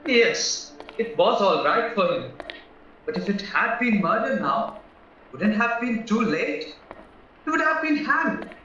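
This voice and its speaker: A man's voice: sits high, big pitch swings, slow, bright, forceful tone, a little hiss or hum, exaggerated voice. A man acting a nervous, naive young drifter in a radio play, chatty, polite and hesitant. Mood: anxious. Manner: anxious, polite, hesitant, rambling. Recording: roomy, distant, muffled, music underneath.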